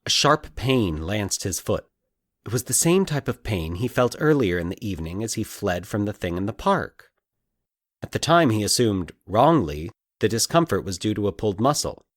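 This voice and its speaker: A man's voice: fairly low pitch, big pitch swings, moderate pace, no room sound, clean studio sound. A man narrating scripted dramatic fiction as the storyteller and host of a podcast.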